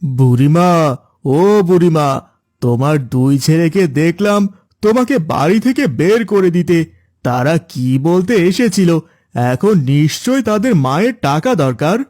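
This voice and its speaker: A man's voice: a little high, animated, a little slow, darkish tone. A man playing a loyal young village helper in a cartoon story, earnest and reassuring. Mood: earnest, caring. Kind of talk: Bengali cartoon moral-story acting.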